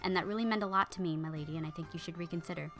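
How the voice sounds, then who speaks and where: A woman's voice: lowish voice, very fast, fairly bright tone, faint music behind. A woman doing a coaxing, scheming maidservant. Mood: persuading. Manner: coaxing, pushy, casual modern phrasing. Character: scheming loyal young maidservant, persuasive.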